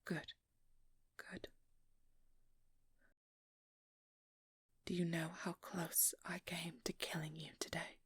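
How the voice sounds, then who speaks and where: A woman playing a guarded, protective young vampire hunter in a hushed, breathy ASMR roleplay, speaking slowly with halting pauses close to the mic. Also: fairly low pitch, level tone, dry recording. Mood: firm, concerned. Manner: slow, halting, intimate delivery with pauses. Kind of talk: whispered ASMR roleplay, dramatic monologue. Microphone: close microphone, dry.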